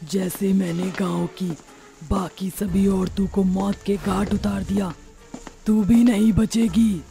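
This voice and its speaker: Slow, creaky, vengeful witch ghost. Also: medium pitch, fairly even intonation, mellow, soft background music. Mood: threatening. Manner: slow, taunting menace. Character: vengeful long-haired witch ghost, menacing and mocking. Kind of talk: Hindi horror story dramatization.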